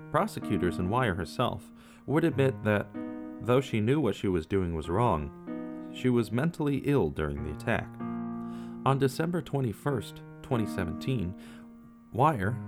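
A man giving scripted true crime narration, measured, with casual asides to the viewer. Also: on the low side. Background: faint guitar music.

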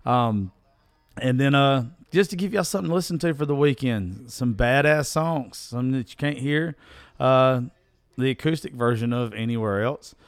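A man's voice: mid-range voice, moderate pace, no echo. A man with a Southern US accent hosts a podcast solo in a casual, rambling, folksy and profane way, his voice strained from recently losing it. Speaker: podcast host.

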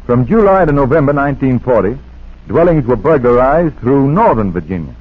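Authoritative male police chief narrating, expressive pitch, rough thin vintage radio sound. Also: brisk. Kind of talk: 1940s radio scripted narration. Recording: tinny, rough 1940s radio recording.

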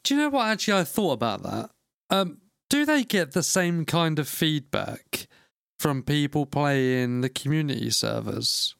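A man co-hosting a casual gaming podcast, joking and swearing through quick banter with his friend. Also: on the high side, very animated, gravelly, fairly bright tone, clean tone, no room sound, studio quality. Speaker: podcast co-host.

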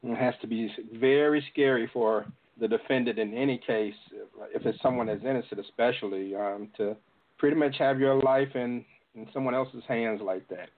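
A man's voice: mid-range voice, moderate pace. Male interviewer on a tinny phone line. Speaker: interview host. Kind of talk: conversational interviewing.

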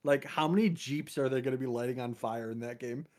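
Man, 30s, podcast co-host; relaxed slangy banter, quick to laugh. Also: mid-range voice. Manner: laid-back, laughs easily, casual slang. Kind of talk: casual gaming podcast chat.

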